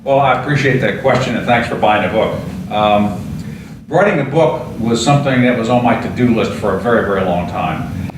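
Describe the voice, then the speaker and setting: A man in his sixties, a retired fire service veteran, answering interview questions in an earnest, steady way with many fillers and restarts. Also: lowish voice, normal speed, recorded from a distance. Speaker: retired fire chief and author. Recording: echoey room, voice from off-mic, clear background noise.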